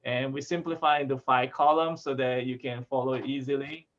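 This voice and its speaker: A man from Malaysia, a food sensory scientist, giving a relaxed talk over a video call with restarts and chuckles. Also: medium pitch, brisk. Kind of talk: casual video-call presentation. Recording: dull lo-fi video call audio.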